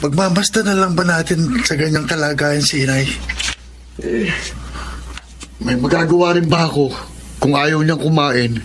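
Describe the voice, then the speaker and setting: A man's voice: fairly high pitch, normal speed, long pauses, close to an everyday voice. A man playing a shifty, nervous young adult in a radio drama, with a flustered manner. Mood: worried. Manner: stammering, flustered. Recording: noisy drama track.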